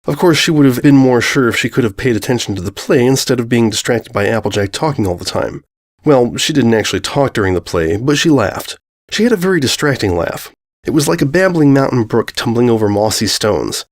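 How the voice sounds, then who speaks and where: A man's voice: mid-range voice, expressive, a little fast. A man reading a scripted story aloud as its narrator.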